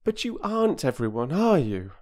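An earnest young girl pipes up with high, pleading questions, as a man puts her on. Her voice leaps about restlessly, and she speaks in a storybook reading. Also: very animated, normal speed, darkish tone. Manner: eager, pleading, rising questions.

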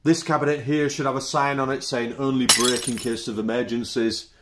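A British man in his thirties, chatty and relaxed, giving a casual tour of his small indoor woodworking workshop, recorded on the camera mic. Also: mid-range voice, a little fast, very noisy. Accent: British English. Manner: relaxed, chatty, lists tools in short phrases. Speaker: woodworking channel host.

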